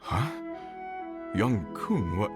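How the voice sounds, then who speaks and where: An actor playing an earnest, helpful leader of a search crew in a video game, polite and a little flustered. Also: male voice, on the low side, very animated, drawn-out words, music in the background, heavily acted. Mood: worried, relieved. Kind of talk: game voice acting.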